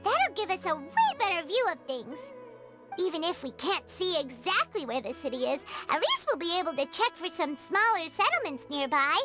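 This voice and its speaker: A woman voicing a tiny, excitable fairy companion in a video game, with a squeaky childlike voice and a chatty, exclamatory manner. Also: moderate pace, over music. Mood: eager. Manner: exclamatory, refers to herself in third person. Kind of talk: animated video game voice acting.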